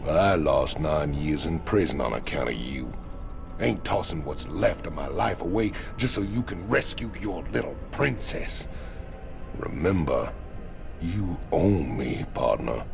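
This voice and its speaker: Gravelly, extremely deep outlaw. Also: male voice, lively intonation, measured pace, exaggerated voice. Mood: disgruntled. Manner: folksy drawl, blunt slangy talk. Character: gruff, jaded ex-convict outlaw, big tough man. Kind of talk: dramatic video game dialogue. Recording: music behind the voice.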